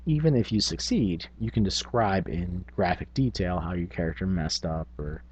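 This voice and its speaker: A man's voice: low-pitched, normal speed, a little mellow, a little hiss or hum. A man hosting a tabletop gaming vlog, talking casually in a rambling, self-effacing, unscripted way. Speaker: tabletop gaming video host.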